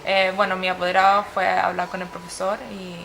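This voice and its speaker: A young woman with a Chilean Spanish accent who first spoke Swedish, answering interview questions with thoughtful pauses. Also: lowish voice, completely flat delivery, noisy background. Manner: thoughtful, with frequent hesitations. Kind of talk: casual interview.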